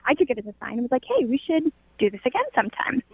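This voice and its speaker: A woman's voice: a little high, big pitch swings, very fast, slightly rough. A young woman calling in to a radio show, chatting casually in a girlish, giggly, gushing way over a narrow phone line. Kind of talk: casual chat. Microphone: telephone.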